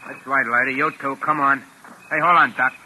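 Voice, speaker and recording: A tough American gangland henchman from a 1940s radio play. His voice is very sharp and forceful, and he gives slangy, threatening orders. The old broadcast recording has some noise in it. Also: mid-range voice, steady tone, a little fast, poor audio. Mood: gruff, bossy. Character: tough, menacing American gangster henchman with a gun.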